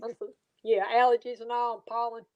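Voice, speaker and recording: A woman's voice: on the high side, level tone, normal speed, no room sound, lo-fi sound. A woman in casual chat who repeats herself and restarts her sentences often. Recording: noisy line with clicks.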